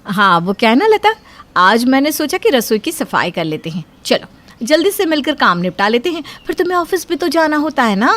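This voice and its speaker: A woman's voice: high voice, big pitch swings, fairly quick, fairly bright tone, a little hiss or hum. A woman playing a jealous, spiteful housewife in a cartoon moral story, sarcastic, bossy and full of complaints. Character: spiteful, jealous elder sister-in-law, grumbling housewife.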